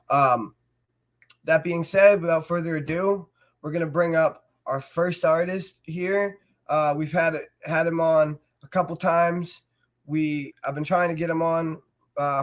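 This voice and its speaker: A man with a cold and a stuffy voice, hosting a casual show and talking in a relaxed way with many fillers. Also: on the high side. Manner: relaxed, filler-heavy, warm encouragement. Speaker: host.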